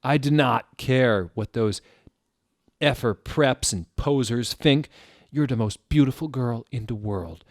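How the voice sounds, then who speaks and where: A man's voice: mid-range voice, takes it slowly, no room sound, clean studio sound, pitched above the performer's own voice, natural voice. A man voicing a lovesick teenage goth boyfriend, pleading and dramatic, in a fan fiction reading. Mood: desperate declaring. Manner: emotional, pleading.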